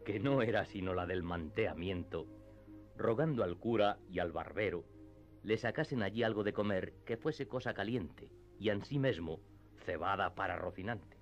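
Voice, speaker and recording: A man narrating a classic tale for radio theatre in a measured storytelling manner. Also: low voice, muffled recording.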